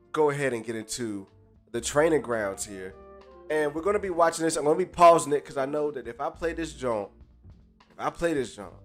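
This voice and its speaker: A man hosting a live stream in casual chat, stuffy-nosed and under the weather, talking in hyped slang and greeting chatters by name. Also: medium pitch. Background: faint live jazz music.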